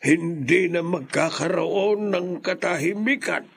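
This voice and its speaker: An actor playing a mysterious old male stranger in a radio horror drama, speaking gravely and solemnly with a husky voice. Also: sits high, slow pace, heavy background noise, heavily acted. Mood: ominous.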